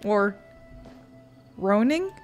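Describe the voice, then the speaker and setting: A woman's voice: mid-range voice, very animated, drawn-out words, soft background music. A woman streaming a story game, giving casual, rambling and playful commentary as she plays.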